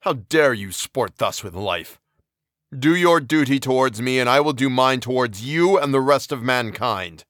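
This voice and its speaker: A man voicing an anguished, towering male creature who speaks slowly and solemnly in an audiobook reading. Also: medium pitch, animated, a bit bright, dry recording, clean studio sound. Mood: bitter. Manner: slow, heavy, solemn delivery.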